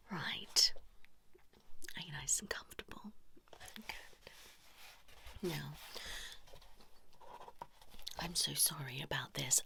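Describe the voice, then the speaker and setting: A British woman doing a whispered ASMR role-play as a chatty, cheeky couples' therapist, breathy and soft. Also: lowish voice, a little hiss or hum. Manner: chatty, cheeky, improvised asides to imagined clients.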